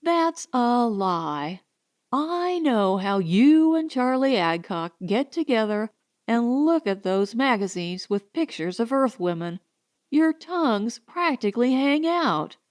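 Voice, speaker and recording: A woman's voice: fairly high pitch, very animated, takes it slowly. A woman voicing a resentful, challenging young colonist wife in a story. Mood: bitter, resentful. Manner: taunting, challenging.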